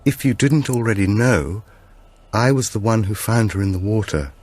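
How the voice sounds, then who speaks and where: Man voicing an earnest older woman, pitch lifted. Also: expressive, moderate pace, darkish tone, lightly acted. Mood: earnest, sombre. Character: grey-haired woman preschool head, earnestly recounting a discovery.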